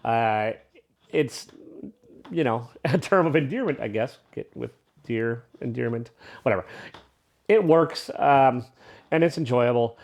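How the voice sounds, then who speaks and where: A man's voice: mid-range voice, a little slow, gravelly, long pauses, darkish tone. A man giving a casual, unscripted review as a vlogger, rambling along in an irreverent, self-deprecating way.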